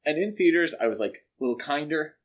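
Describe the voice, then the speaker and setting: A man's voice: a little high, animated, brisk, dull audio. Man casually talking films as podcast host. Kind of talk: casual film discussion.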